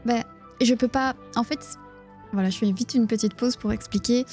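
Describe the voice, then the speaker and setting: A woman with a Belgian accent reading a book aloud on a live stream in a hushed, near-whispering voice, stopping to chat with her viewers. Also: fairly high pitch, normal speed, faint music behind. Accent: Belgian French. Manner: reads narration in a hushed, near-whispered voice, chats plainly with viewers.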